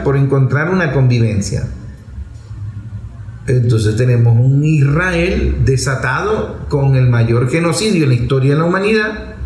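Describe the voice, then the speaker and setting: A man's voice: a little high, darkish tone. A man with a Venezuelan accent giving an impassioned political monologue, emphatic and rhetorical, repeating phrases to drive points home. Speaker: political commentator. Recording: roomy echo, faint background noise.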